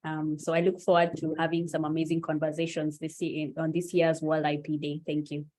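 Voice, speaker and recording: Woman from Kenya, lawyer, lowish voice, composed self-introduction. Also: completely flat delivery, a little fast, warm tone. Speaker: panelist, lawyer.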